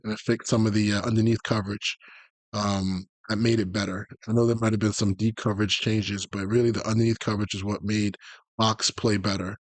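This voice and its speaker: A man's voice: lowish voice, level tone, fairly quick, no room sound. A man giving a casual live-stream lecture on video game tactics, informal and conversational, wandering into tangents as he explains.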